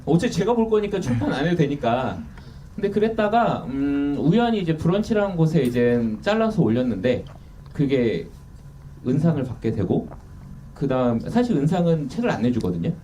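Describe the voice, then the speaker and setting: Lively, mellow-voiced man speaking from afar. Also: on the high side, moderate pace. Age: 30s. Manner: candid, self-deprecating, lively. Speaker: author guest. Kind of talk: casual interview talk. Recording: distant mic with faint noise.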